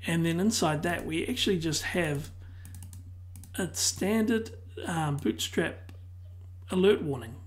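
A tutor losing their voice who keeps clearing their throat, calmly explaining a software tutorial one step at a time. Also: medium pitch, takes it slowly. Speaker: web design tutor. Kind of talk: screen-recorded software tutorial.

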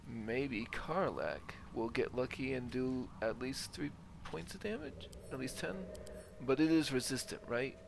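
Gravelly man narrating his video game. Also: medium pitch, expressive, measured pace, background noise. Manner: thinking aloud. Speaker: gamer narrating own playthrough. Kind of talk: casual gameplay commentary. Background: game ambience.

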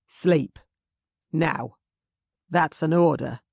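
A narrator voicing a protective, commanding Scottish Highland warrior chief who gives firm, clipped orders in a Scottish accent. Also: extremely slow, gravelly, breathy edge, no echo, studio quality, heavily acted. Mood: tender commanding.

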